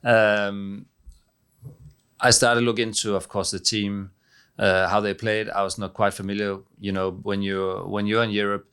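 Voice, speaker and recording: Danish-accented man, very low smooth voice. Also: little pitch movement, fairly bright tone. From Denmark. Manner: halting, many fillers and restarts. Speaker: head coach. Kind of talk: press conference answers.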